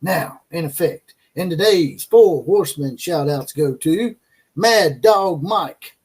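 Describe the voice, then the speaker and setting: A man's voice: a little high, expressive, takes it slowly, darkish tone, husky. A man hosting a college football commentary channel, ranting with crude, mocking opinions and frequent fillers and restarts.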